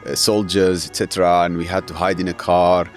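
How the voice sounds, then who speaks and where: Male guest, 30s, very low voice, halting earnest delivery, faint music bed. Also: little pitch movement. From Syria. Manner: earnest, halting, with frequent fillers and restarts. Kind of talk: emotional interview.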